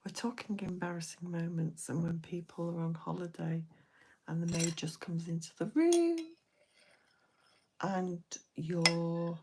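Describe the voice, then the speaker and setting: A woman hosting a late-night live stream, talking to her viewers in a soft, half-whispered voice. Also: on the low side, a little slow, a little hiss or hum, poor audio.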